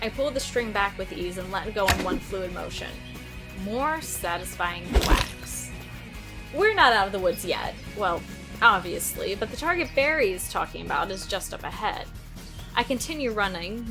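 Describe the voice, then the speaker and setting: A woman's voice: medium pitch, close to an everyday voice. A woman playing a sardonic, defiant teenage girl archer who narrates and speaks in an audio drama, expressively. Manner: sarcastic, expressive. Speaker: narrator and lead character. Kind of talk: first-person audio drama narration and dialogue. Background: music.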